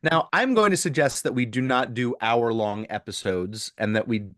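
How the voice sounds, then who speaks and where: A man co-hosting a podcast in casual chat while getting over the flu, explaining things in a playful, halting way. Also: mid-range voice, fairly bright tone, no room sound, studio quality. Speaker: podcast co-host.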